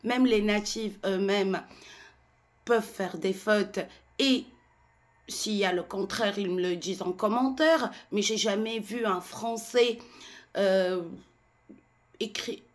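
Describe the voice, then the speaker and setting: Slow, indignant Tunisian woman. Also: medium pitch. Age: 40s. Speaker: French teacher. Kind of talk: ranting to camera.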